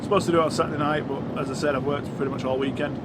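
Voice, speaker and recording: A man's voice: steady tone, racing. A man with a Yorkshire accent and a slightly congested, sniffly voice, chatting casually and bluntly to viewers as a truck driver vlogger, thinking aloud as he goes. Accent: Yorkshire English. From Yorkshire, England. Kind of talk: casual rambling chat to viewers. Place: inside a lorry cab while driving. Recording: loud engine and road rumble.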